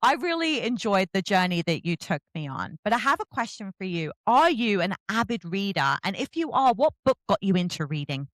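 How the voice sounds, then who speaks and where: Casting: woman, slightly low-voiced, very animated podcast host, emphatic and chatty, effusive with guests. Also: brisk, few pauses, no echo. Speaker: podcast host interviewing an author. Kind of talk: casual enthusiastic book chat.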